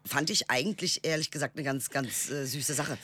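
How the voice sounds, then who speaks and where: A man chatting casually as a podcast co-host, colloquial and animated, asking questions and teasing. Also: medium pitch, normal speed, slightly rough. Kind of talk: casual conversational chat.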